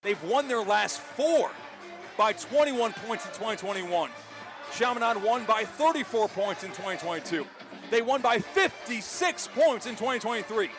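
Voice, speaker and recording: A man doing excited, emphatic play-by-play football commentary with dramatic phrasing. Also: high voice, normal speed, over music. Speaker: play-by-play sports announcer.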